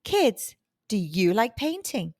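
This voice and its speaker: A woman hosting a children's storytime, reading aloud expressively with deliberate, dramatic emphasis. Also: medium pitch, big pitch swings, a little mellow, dry recording, studio quality. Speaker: children's storytime host and narrator.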